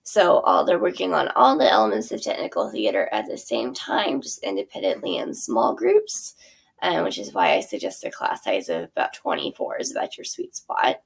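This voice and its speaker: Lively, gravelly-voiced woman teacher. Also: fairly low pitch, moderate pace. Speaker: theater teacher presenting her curriculum. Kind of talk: casual walkthrough of a product.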